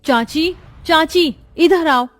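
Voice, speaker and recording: A woman's voice: sits high, slow. A woman playing emotional women of a troubled family in a moral story, with emphatic, melodramatic delivery. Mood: angry, scornful. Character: dramatic young and motherly women of a troubled family.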